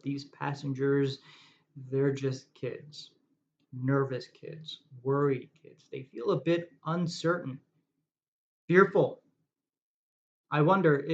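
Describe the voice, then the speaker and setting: Man leading a calm guided meditation. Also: a little high. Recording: dull lo-fi recording.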